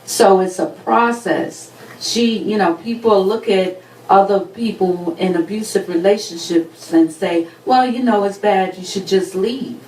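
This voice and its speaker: A woman speaking in a measured, advising way on a talk show. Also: medium pitch, darkish tone, reverberant, recorded from a distance, rough recording. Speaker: panelist.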